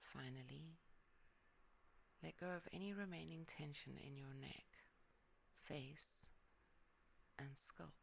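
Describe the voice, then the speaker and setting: A yoga nidra guide leading a calm relaxation meditation, speaking softly and often sinking into a whisper. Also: mid-range voice, slow pace, vocal fry, long pauses, slight background noise.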